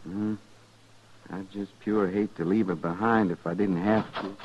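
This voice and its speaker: Low, unflappable scout. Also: male voice, fairly even intonation, breathy edge. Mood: earnest, concerned. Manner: folksy, plain-spoken, unhurried. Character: small, self-possessed Army scout, young husband, unflappable. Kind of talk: 1950s western radio drama acting. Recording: muffled 1950s radio recording.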